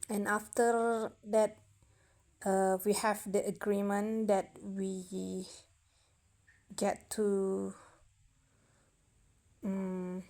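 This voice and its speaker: Young woman, Indonesian accent, very slow and halting, mellow voice, lots of fillers. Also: mid-range voice. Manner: halting, searching for words, many fillers and restarts. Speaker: vlogger. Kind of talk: casual storytelling to camera.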